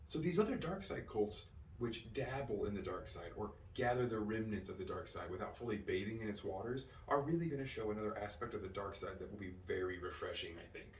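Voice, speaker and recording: A man's voice: lowish voice, lively intonation, a little fast. Roomy, distant recording of an enthusiastic man reviewing. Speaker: reviewer and host. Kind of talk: enthusiastic fan review monologue. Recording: roomy, distant mic with faint noise.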